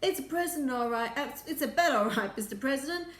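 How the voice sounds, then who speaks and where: A woman's voice: sits high, expressive, fast talker, a bit bright, echoey room, distant mic, pitched above the performer's own voice. A woman voicing a nervous, deferential male astronaut in a storybook, eager to please his superior.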